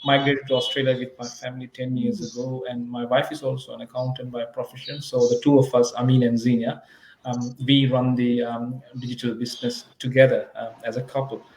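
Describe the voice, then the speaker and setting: A man's voice: medium pitch, level tone, a little fast, a little mellow. A man from Sri Lanka co-hosting a live online interview, speaking hesitantly with lots of ums. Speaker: co-host. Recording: echoey room with faint ambient noise.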